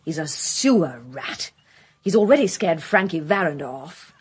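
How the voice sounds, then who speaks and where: A refined woman conspirator speaks genteelly with an anxious edge. Her voice is husky and expressive in a radio play. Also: low-pitched, moderate pace, heavily acted. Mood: fearful. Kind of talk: radio drama acting.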